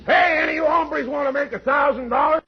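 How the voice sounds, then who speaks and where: An actor playing a scheming, loud western man, calling out in declamatory 1940s radio comedy style. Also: very high voice, fast. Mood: urgent, proclaiming. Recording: noisy, dull 1940s radio recording with clicks.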